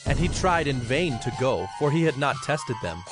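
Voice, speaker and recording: A man narrating scripture from a script in a measured, formal manner. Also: mid-range voice, sing-song, music in the background.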